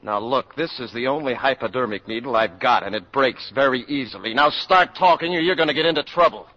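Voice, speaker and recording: A determined doctor speaks in a firm, clipped, insistent way. The recording is dull, like an old radio broadcast. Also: male voice, mid-range voice, fairly quick, pushed and bright. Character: determined public health doctor, firm and blunt. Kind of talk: 1950s radio drama acting.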